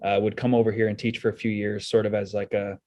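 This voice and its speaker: A middle-aged man with an American accent, giving measured, explanatory answers as a guest in a casual interview. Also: fairly low pitch, little pitch movement, mellow, dry recording, highs cut off. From United States and South Korea. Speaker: school sports director, interview guest.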